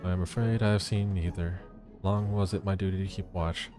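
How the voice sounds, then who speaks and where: A man plays a serene guardian from a fantasy game, courteous and a little weary. He speaks formally in a low, measured voice over faint music. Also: steady tone, mellow, natural voice. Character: calm, courteous male watcher of the moon, gently weary.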